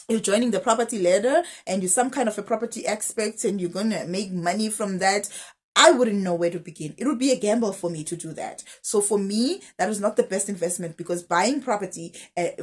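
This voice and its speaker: Husky woman giving advice. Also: mid-range voice. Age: 30s. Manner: direct, advising, piles up rhetorical questions. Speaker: teacher and finance vlogger. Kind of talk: casual money-advice vlog.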